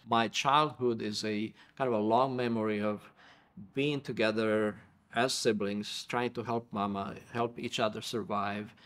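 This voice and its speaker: A man's voice: lowish voice, steady tone, unhurried, a bit bright. A middle-aged man from Romania with a Romanian accent, a pastor and professor telling his life story earnestly and reflectively in an interview, often repeating words.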